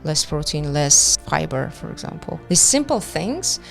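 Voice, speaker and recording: A woman from Kazakhstan, a doctor speaking as a nutrition expert in a calm, measured, explanatory way. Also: sits low, creaky, soft background music. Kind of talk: expert interview.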